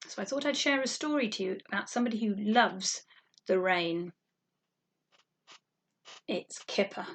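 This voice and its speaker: A woman reading a picture book aloud to young children, animated and sing-song, drawing out words and asking questions. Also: mid-range voice, unhurried, breathy edge. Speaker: storytime reader for young children.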